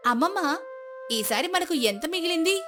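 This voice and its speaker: A woman's voice: very high voice, expressive, moderate pace, music in the background, voice pushed up. A woman voicing an eager, affectionate young village granddaughter in a moral story. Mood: curious, fond.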